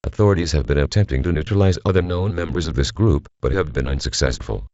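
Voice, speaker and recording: A man playing a grave government announcer, reading a scripted emergency bulletin in a formal, measured, solemn manner. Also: a bass voice, a little mellow, no echo, dull audio. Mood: grave, official. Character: grave male government civil defense announcer.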